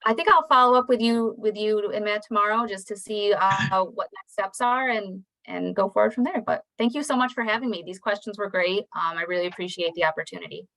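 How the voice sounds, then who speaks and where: Creaky female voice, mid pitch, tentative, informal meeting presenter answering questions. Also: brisk, few pauses, a bit bright, rough recording. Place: meeting room.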